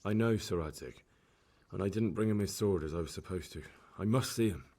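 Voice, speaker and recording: A man's voice: deep voice, a little fast, mellow. A man acting an earnest, battered young blacksmith's son in a medieval video game drama, sounding weak and weary. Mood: earnest, determined.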